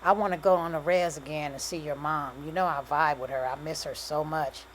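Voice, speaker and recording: A woman's voice: deep voice, fairly even intonation, brisk, slight background noise, natural voice. A woman voicing a heartbroken, stubborn young woman, emotional and slangy, in audiobook dialogue. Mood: pleading.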